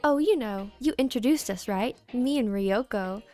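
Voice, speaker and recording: A woman's voice: fairly high pitch, moderate pace, darkish tone, soft background music, lightly acted. A woman voicing a cocky, teasing teenage boy, a loyal best friend in a game, with brash, slangy delivery. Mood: earnest.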